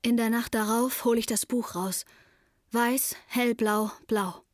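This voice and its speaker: A woman's voice: fairly high pitch, fairly even intonation, takes it slowly, no echo, studio quality. An actress playing an intense, troubled young woman who narrates her breakdown in a wry, matter-of-fact way in a radio play.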